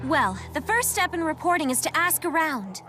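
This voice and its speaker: A helpful young girl in a video game. Her voice is high and earnest, explaining as she goes, with faint music behind. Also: big pitch swings, normal speed, pushed and bright, exaggerated voice. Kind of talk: scripted game dialogue.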